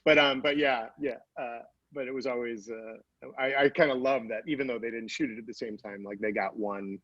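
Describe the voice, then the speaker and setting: Halting man, dry and slightly dull sound. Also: medium pitch, steady tone, no room sound. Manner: halting, searching for words. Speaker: TV writer and producer on a panel. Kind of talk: casual panel conversation.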